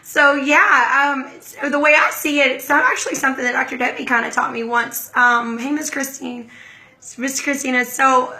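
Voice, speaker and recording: A hoarse middle-aged woman hosting a casual livestream, chatting and greeting viewers by name. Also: high-pitched, normal speed, pushed and bright, poor audio. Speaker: livestream host.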